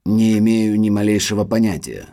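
An actor playing a loyal, discreet middle-aged butler in an audio drama, speaking in a measured, respectful, formal way. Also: male voice, on the low side, dark tone, lightly acted. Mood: humble, apologetic.

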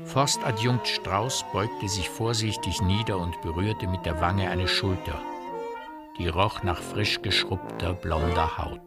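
A man's voice: low voice, a little slow, over loud music. A man giving scripted literary narration as the storyteller of a radio drama.

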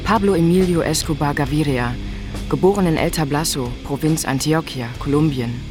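A woman's voice: low-pitched, moderate pace, music in the background, natural voice. A woman narrating a documentary drama in a clipped, matter-of-fact, dossier-like style. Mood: factual. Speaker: narrator reading biographical records.

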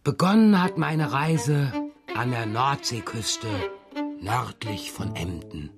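A man's voice: medium pitch, lively intonation, slow pace, over music. An actor playing a playful, evasive middle-aged father who roams about and writes, with whimsical, hesitant phrasing in a children's radio play. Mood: playfully evasive. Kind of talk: German children's radio drama dialogue.

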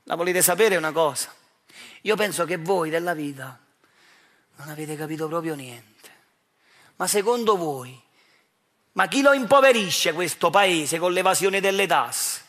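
High-pitched Neapolitan man, provocative stage monologue. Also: expressive, measured pace, pauses often, a bit bright. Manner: provocative, accusatory, rhetorical questioning. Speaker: stage actor performing his own monologue. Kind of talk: theatrical monologue addressed directly to the audience.

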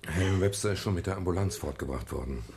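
Composed private eye in a radio crime play, really low voice, bit gravelly, measured and matter of fact. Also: male voice, darkish tone, a little hiss or hum. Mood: hesitant. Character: male private detective narrating his case, sober and composed. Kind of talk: 1970s German radio crime drama, narration and dialogue.

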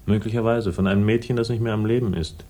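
A man playing a dry, wry, laconic private investigator in a 1960s German radio drama, deadpan and understated. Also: fairly low pitch, fast talker, natural voice. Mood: cool, probing. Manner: deadpan, understated, clipped replies. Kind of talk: 1960s German radio drama acting and first-person narration. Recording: 1960s radio recording with faint noise.

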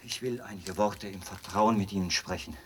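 An actor playing a fanatical officer in a literary radio drama, speaking in an insistent, pedantic and aggrieved way. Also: male voice, deep voice, moderate pace, slight background noise, lightly acted. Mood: confiding, pleading. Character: fanatical officer devoted to his execution machine.

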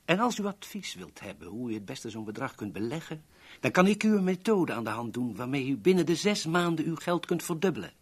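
An actor in a 1970s Dutch radio drama playing a polite, self-important, shady go-between with florid, over-courteous manners. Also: male voice, medium pitch, big pitch swings, brisk, husky. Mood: oily persuasion.

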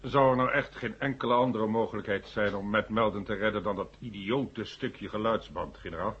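Male actor as doubting police inspector, anxious, lowish voice, muffled vintage radio-play recording. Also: moderate pace, natural voice. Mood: sceptical, worried. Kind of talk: 1970 science fiction radio drama acting. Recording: muffled old recording, faint noise.

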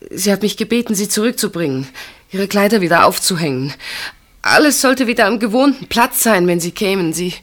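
A woman's voice: mid-range voice, a bit bright, natural voice. An actress playing a guarded, troubled woman in a German radio play, speaking in a hesitant, evasive dramatic manner. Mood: hesitant, anxious.

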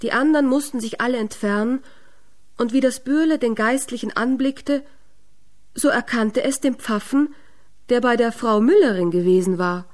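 A woman narrating a fairy tale in a radio drama, measured and expressive. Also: fairly high pitch. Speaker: storyteller.

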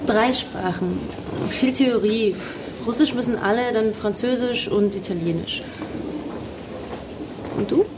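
Young playful student, noisy ambience. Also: female voice, a little high, slow, pauses often. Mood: friendly, playful. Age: young adult. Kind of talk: radio drama dialogue.

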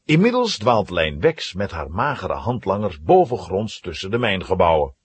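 A man's voice: deep voice, very animated, moderate pace, husky, dry recording. A man narrating a scripted children's audio play in a dramatic storytelling manner.

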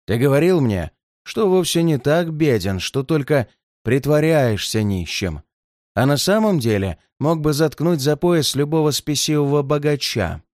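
A man voicing a cruel, gloating red-haired giant who threatens an old man in a slow, heavy delivery. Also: a little high, expressive, a little mellow, no echo, clean studio sound. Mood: menacing.

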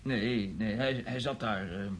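A man's voice: on the low side, unhurried, slight background noise, natural voice. An actor playing a nervous male rookie agent in a radio drama, faltering and deferential. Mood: nervous, evasive.

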